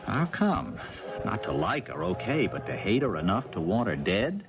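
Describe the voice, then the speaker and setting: Nervous hired crook out of his depth, deep voice, casual and halting, dull old radio play sound. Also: huge pitch swings, over music. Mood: uneasy. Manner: casual, hesitant, plain-spoken. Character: uneasy, reluctant hired killer, ex-convict, out of his depth. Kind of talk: 1970s radio drama acting. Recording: muffled, noisy old radio recording.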